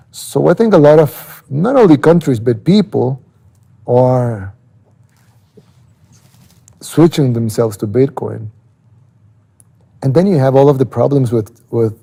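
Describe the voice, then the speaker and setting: A man with a Salvadoran Spanish accent speaks in a very mellow, dark voice at an average pitch. A gravelly creak runs through it. He explains casually, as in an interview, often pausing and repeating a word before moving on. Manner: halting, repeats words while thinking.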